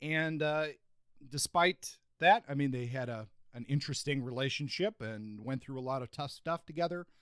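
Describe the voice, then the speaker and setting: A man with a medium-pitched voice talks as a podcast co-host. He delivers book reviews and discussion, recorded dry with very little room sound. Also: moderate pace, a bit bright, clear, resonant tone, dry recording.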